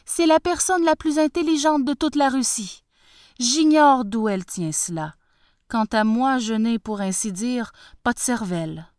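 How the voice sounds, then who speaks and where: A woman's voice: fairly high pitch, unhurried, dry recording, studio quality, voice pushed up, lightly acted. A woman voicing a humble, fretful old peasant farmer who fawns and panics. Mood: eager. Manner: excitable, fawning and fretful.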